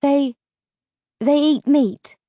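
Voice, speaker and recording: A woman's voice: sits high, big pitch swings, moderate pace, a little mellow, no room sound, studio quality, pitched above the performer's own voice. A woman voicing a spirited, determined young heroine with a direct manner in an audiobook. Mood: urgent.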